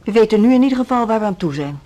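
An actress in a Dutch radio play playing a mild, conciliatory middle-aged school director, measured and husky, with a Netherlands accent. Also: female voice, medium pitch, lively intonation, dark tone, close to an everyday voice. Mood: defensive, measured. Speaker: radio drama actor.

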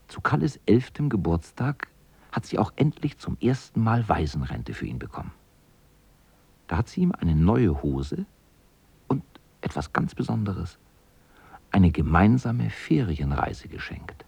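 Guy narrating a radio story, husky n creaky, very dry close sound, lively intonation. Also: lowish voice, a little slow, dry recording.

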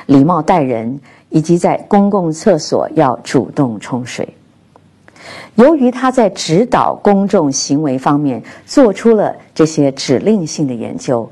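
A woman hosting a programme, narrating scripted commentary in a measured storytelling way. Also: deep voice, breathy edge. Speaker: radio programme host. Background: soft instrumental music.

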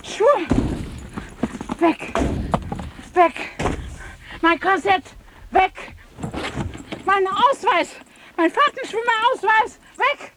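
A distraught woman in a radio drama. Her voice is high and swoops widely. She laments in slow, broken, repetitive cries, sounding desperate. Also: background noise, full character voice. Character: distraught woman lamenting lost luggage, husband and childhood.

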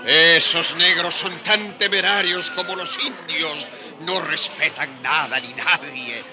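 An actor playing a harsh, cruel colonial Spanish man in a radio drama, shouting orders in an agitated, imperious way. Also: a little high, steady tone, slow. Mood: hateful. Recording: radio drama with loud crowd and music.

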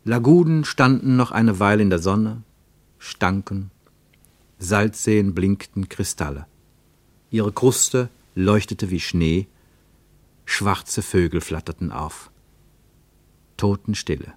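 Low-voiced man narrating a radio play. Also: dry recording. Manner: measured, descriptive, poetic.

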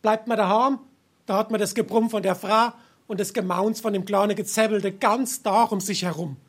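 A man playing a grumbling, henpecked family father in a Darmstadt Hessian dialect tavern comedy, exasperated and husky. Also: high-pitched, fairly even intonation, moderate pace. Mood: exasperated ranting. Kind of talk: dialect stage comedy acting.

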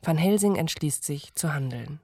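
A woman reading a scripted recap for a radio drama as its narrator, measured and formal. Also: low voice, animated, darkish tone, husky, dry recording. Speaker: narrator and announcer. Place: studio.

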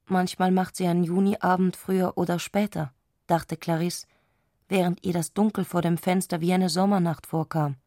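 A woman reading literary narration for a radio play in a scripted, measured storytelling style. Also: fairly low pitch, steady tone, dark tone, no room sound, clean studio sound.

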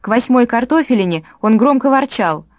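A woman reading an audiobook story aloud in calm, measured narration. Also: on the high side, no room sound, highs cut off. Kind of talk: scripted narration.

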